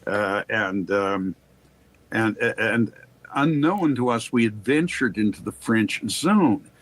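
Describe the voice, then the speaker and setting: An older man from Canada speaks as an interview guest in a low, husky voice. He tells anecdotes in an erudite, formal way, wandering into asides and restarting his phrases as he goes. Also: animated. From Quebec, Canada. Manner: erudite, discursive, halting with repeated starts, formal wording. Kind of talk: anecdotal storytelling in conversation.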